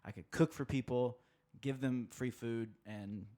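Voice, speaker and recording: Relaxed man in his thirties, rambling. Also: mid-range voice, unhurried. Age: 30s. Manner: relaxed, rambling with many fillers and restarts. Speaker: podcast guest. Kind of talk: casual conversation.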